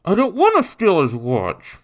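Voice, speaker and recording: Little street kid in an audiobook, way high voice, desperate and indignant, pleading. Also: male voice, wildly swooping pitch, measured pace, exaggerated voice. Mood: reluctant. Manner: pleading and insistent. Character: desperate, indignant, earnest young street boy. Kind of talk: audiobook character voice.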